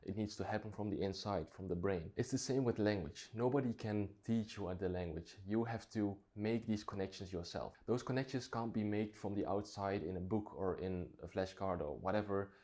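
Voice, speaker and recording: A man's voice: on the low side, normal speed, hardly any pauses, a little mellow. A Dutch-native man talking casually to camera, reflective and thinking aloud with frequent restarts. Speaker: vlogger.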